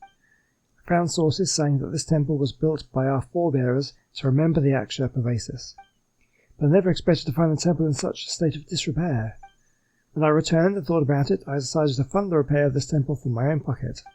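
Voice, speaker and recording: A man's voice: on the high side, fairly quick, mellow, lightly acted, rough recording. A man voicing an earnest, polite reformed swindler from a video game, reading the dialogue in a wordy, scholarly way.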